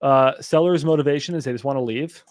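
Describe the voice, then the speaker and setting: A young man hosting a live stream on real estate wholesaling, talking casually to his chat and getting excitable and exasperated at times. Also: mid-range voice, fast, no room sound. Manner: excitable, swears when exasperated, talks to chat. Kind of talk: casual livestream teaching.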